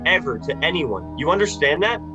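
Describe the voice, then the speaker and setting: A man's voice: a little high, big pitch swings, fairly quick, highs cut off, over loud music. A man playing an earnest, headstrong young newlywed husband in a 1940s style radio play. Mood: agitated, defiant. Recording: home recording for online radio play.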